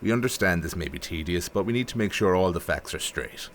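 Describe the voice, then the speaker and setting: An actor playing a measured, probing police interviewer in an audio drama, formal and patient. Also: male voice, low-pitched, animated, slight background noise.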